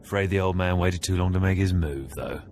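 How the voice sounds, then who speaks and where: A proud, menacing rival acolyte , voiced by a man in a video game. The voice is deep and controlled, smug and condescending as it taunts. Faint music sits behind it. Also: a little fast, darkish tone, lightly acted. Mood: smug, sizing up.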